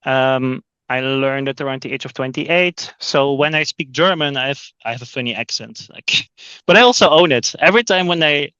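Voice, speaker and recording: A man's voice: medium pitch, normal speed, pushed and bright, clean tone, dry recording, lo-fi sound. A man from Belgium, a sales executive talking as a podcast guest, often repeating words as he restarts his sentences. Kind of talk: casual interview talk.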